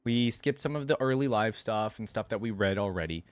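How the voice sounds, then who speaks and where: A man's voice: fairly low pitch, a little fast, dry recording. A man giving casual reaction commentary in a conversational way, thinking aloud and sharing his opinions. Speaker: reaction video creator.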